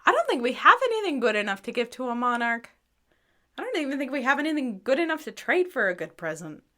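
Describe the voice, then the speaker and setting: A woman playing an excitable, chatty wandering musician, eager and dramatic, in a radio drama. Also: sits high, fast talker, full character voice. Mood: worried.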